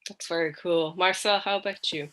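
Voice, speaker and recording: A man's voice: high-pitched, steady tone, normal speed, bright, forceful tone. A man with an Irish accent hosting a casual interview over a video call, with lots of ums and short, friendly replies. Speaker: host.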